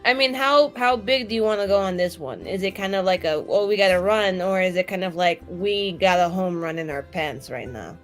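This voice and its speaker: A woman's voice: lowish voice, fairly quick, hardly any pauses, soft background music. A woman improvising in character at a tabletop game, talking casually with blunt, irreverent slang. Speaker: tabletop roleplaying player.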